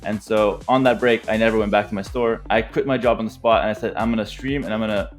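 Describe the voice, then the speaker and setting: Fast-talking young male gamer in interview. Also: on the low side, steady tone, soft background music. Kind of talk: casual interview.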